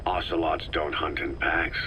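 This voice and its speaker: An extremely deep, forceful voice of a battle-worn soldier boss in a video game. He is terse, guarded and deadpan, with faint noise behind. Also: unhurried, heavily acted. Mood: guarded, dry. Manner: laconic, deadpan. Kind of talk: video game voice acting, scripted dialogue.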